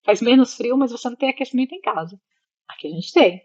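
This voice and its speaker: A woman's voice: fairly high pitch, lively intonation, fast, lo-fi sound. A Brazilian woman speaking casually and reflectively as an interview guest, expressively slipping into imagined remarks and checking that listeners follow. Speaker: interview guest, psychologist living in Italy.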